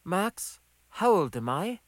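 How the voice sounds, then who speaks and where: A man's voice: high voice, sing-song, slow pace, no echo, voice pushed up, full character voice. A man voicing a confident, needling woman in an audiobook, with a firm, teasing and insistent delivery. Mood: challenging. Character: confident, needling adult woman, longtime diner friend.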